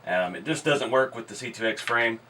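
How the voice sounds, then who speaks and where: A hoarse man hosting a hobby channel, chatting casually while he works on a model build in his workshop. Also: medium pitch, fairly quick, recorded from a distance, slight background noise. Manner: relaxed, explains while working with his hands. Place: indoor garage workshop.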